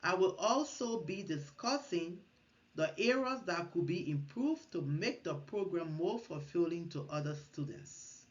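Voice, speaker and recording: A woman's voice: fairly low pitch, animated, a little slow, lo-fi sound. A woman from Liberia, giving an earnest recorded class presentation, partly read and with small restarts. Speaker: student presenter.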